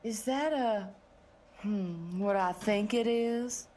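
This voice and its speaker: A woman's voice: medium pitch, takes it slowly, warm tone, a little hiss or hum, close to an everyday voice. A woman playing a flirty, ditzy, put-upon bakery bookkeeper in a comedic audio drama, coy in manner.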